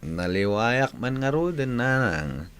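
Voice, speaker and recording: A man acting a gentle, ailing young son in love in an Ilocano radio drama, soft-spoken, earnest and halting. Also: medium pitch, sing-song, smooth. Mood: weary, reassuring.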